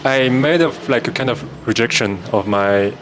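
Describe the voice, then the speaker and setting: A man's voice: mid-range voice, moderate pace, a little hiss or hum. A man hosting a podcast, asking friends questions over drinks in a loose, searching way with many fillers. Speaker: podcast host. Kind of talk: casual conversation, asking questions.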